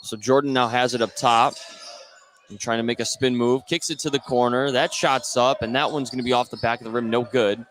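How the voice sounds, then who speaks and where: A man's voice: mid-range voice, steady tone, a little fast, fairly bright tone. A man doing live radio play-by-play of a basketball game, describing the action in short clipped phrases. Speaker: play-by-play sports commentator. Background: basketball game with bouncing ball.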